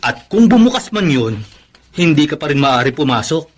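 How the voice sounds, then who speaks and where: A man's voice: a little high, sing-song, normal speed, a little hiss or hum. A man acting a teasing buddy who philosophizes about love in a Filipino radio drama. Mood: mock-solemn.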